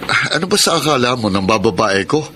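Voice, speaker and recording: A man's voice: mid-range voice, very animated, quick pace, sharp tone, a little hiss or hum. A man acting an evasive, defensive philanderer in a radio drama, with a Filipino accent. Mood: irritated, defensive. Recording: radio drama with clear music behind. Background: music.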